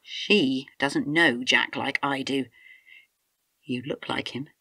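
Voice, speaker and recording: An actress playing a distrustful, fearful, distraught wife in a radio drama. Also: female voice, a bass voice, slow pace, creaky, bright, forceful tone, slightly rough, no room sound, full character voice. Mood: suspicious, tense.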